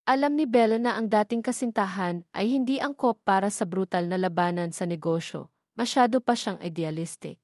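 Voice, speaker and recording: A woman's voice: mid-range voice, normal speed, a little mellow, dry recording, studio quality. A woman delivering scripted narration for an audio drama story.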